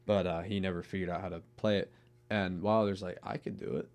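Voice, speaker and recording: Deep-voiced young man, rambling casually. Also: fairly even intonation, fairly quick. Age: young adult. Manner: rambling, many restarts and swearing. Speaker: podcast guest. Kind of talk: casual chat.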